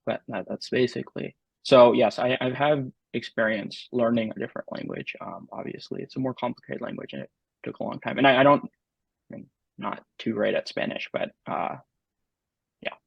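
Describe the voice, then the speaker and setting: Man guest, gravelly husky voice, dry muffled sound, halting speech with lots of fillers. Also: medium pitch, no room sound. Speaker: interview guest. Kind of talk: casual conversation.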